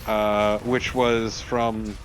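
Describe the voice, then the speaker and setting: A man's voice: lowish voice, level tone, extremely slow, slight background noise. A man co-commentating on a video game with casual, joking banter and plenty of swearing. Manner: profane, joking. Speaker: let's play co-commentator.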